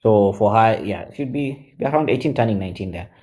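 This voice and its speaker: A man in his forties, chatting on a live stream as a guest, halting with many fillers and switching into Swahili. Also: on the low side, animated, a little mellow. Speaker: guest mentor. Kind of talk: casual live-stream chat.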